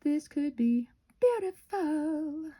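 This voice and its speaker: A woman hosting a casual craft tutorial, thinking aloud in a scattered, self-interrupting way with playful, expressive exclamations. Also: high-pitched, takes it slowly. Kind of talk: rambling casual craft narration.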